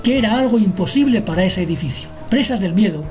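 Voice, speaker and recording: A woman's voice: medium pitch, lively intonation, fast. A woman narrating a scripted radio drama as the storyteller. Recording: music behind the narration.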